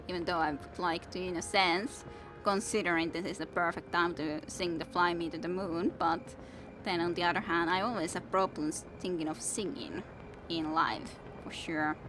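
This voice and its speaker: A young woman streaming a game and chatting with viewers in a soft, musing way; English is not her first language and her voice is worn out from long reading. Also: on the low side, noisy background. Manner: soft, musing, self-talking. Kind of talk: casual gameplay chat with viewers. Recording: game music in background.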